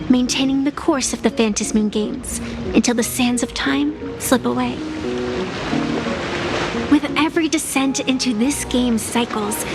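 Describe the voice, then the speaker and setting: An actress voicing a playful, philosophical ageless woman in a video game, with a lilting, teasing, theatrical manner. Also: high voice. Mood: serene resolve. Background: background music.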